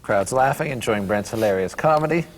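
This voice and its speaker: A man's voice: medium pitch, animated, a little fast. Man reading stage directions, echoey room. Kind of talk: scripted narration at a table read. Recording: reverberant room, faint background noise.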